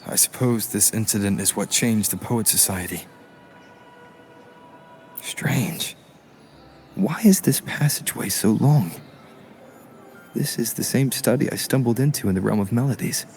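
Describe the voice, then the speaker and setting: A man voicing a calm, observant young investigator in a video game, measured and matter-of-fact. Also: lowish voice, soft background music. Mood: pensive.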